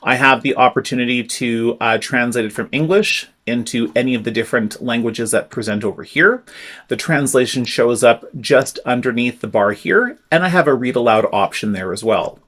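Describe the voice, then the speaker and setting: A man presenting a step-by-step software tutorial, explaining each click in a clear, instructive way. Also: medium pitch, moderate pace. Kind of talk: screen-recorded software tutorial.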